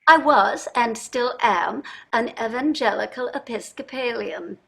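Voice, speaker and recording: Prickly, bright-voiced wealthy older wife. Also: female voice, a little high, a little slow, gravelly, breathy edge, full character voice, low-quality recording. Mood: defensive. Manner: emphatic, prickly, wry. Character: wealthy older wife, defensive, restless, wistfully hopeful. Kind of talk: staged play reading.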